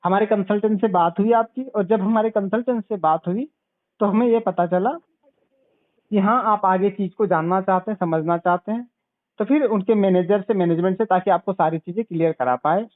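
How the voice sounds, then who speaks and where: High-pitched man on tinny phone. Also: normal speed, dry recording. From Bihar, India. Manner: didactic, repeats points and asks check-back questions. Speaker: sales consultant. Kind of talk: telephone sales pitch. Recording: narrow, tinny phone call recording.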